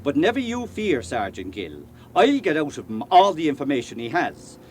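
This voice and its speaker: An actor with an Irish accent playing a genial, talkative, canny police superintendent in a radio drama. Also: male voice, a little high, very animated, normal speed, a little mellow, a little hiss or hum. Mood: genial, confident. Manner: folksy, cajoling, garrulous.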